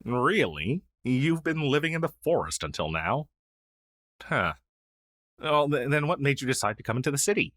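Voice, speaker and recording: A man's voice: medium pitch, big pitch swings, normal speed, a bit bright, no room sound, clean studio sound. A man playing a friendly, down-on-his-luck crow demon who was once a witch's familiar, in a fantasy audio roleplay, speaking earnestly with hesitant stammers. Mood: surprised, friendly.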